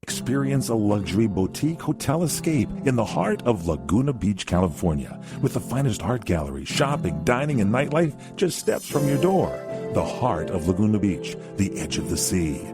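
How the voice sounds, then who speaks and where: Smooth male ad announcer over music. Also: lowish voice, very animated, moderate pace. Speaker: commercial announcer. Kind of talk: scripted radio ad read. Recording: radio ad over loud music.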